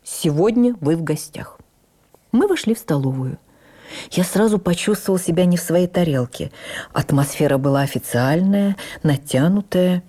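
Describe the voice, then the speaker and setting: Radio memoir narrator: woman, lowish and warm-toned, measured, expressive literary delivery. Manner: measured, expressive, deliberate phrasing. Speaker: actress reading a memoir.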